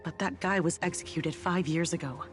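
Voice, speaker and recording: An actress playing a cool, composed security officer in a video game, speaking with dry, faintly wry, businesslike authority. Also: female voice, lowish voice, normal speed, music in the background, lightly acted. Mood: wry, admonishing.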